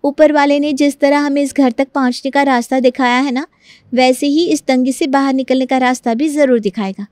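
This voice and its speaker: A smooth-talking young daughter-in-law, voiced by a woman for an animated family tale. The voice is high and closely recorded with no room sound, and the manner is hopeful, coaxing and full of reassurance as schemes are hatched. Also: fairly quick, dry recording, clean studio sound, natural voice. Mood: reassuring. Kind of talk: Hindi animated story dialogue.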